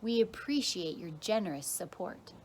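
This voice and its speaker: A woman's voice: medium pitch, animated, normal speed, darkish tone, a little hiss or hum, lightly acted. A woman voicing a gracious male mayor in a children's story, speaking formally and gratefully to townsfolk. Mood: gracious, welcoming. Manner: formal, grateful public-speech delivery.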